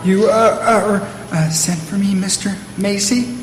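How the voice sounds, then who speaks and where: An actor playing a fussy, anxious, cowardly store employee with a stammering, obsequious manner in an old-time radio play. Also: male voice, sits high, fairly even intonation, slow, faint music behind. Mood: meek, nervous. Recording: roomy stage hall with long reverb.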